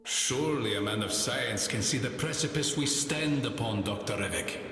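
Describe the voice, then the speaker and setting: An actor playing a calm, sorrowful scientist-healer in a video game, speaking in a measured, formal, grave way. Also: male voice, mid-range voice, close to an everyday voice. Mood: grave, reproving. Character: calm, solemn male healer and scientist, gently sorrowful, philosophical. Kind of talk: scripted video game character voice lines. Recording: echoey long reverb, music behind.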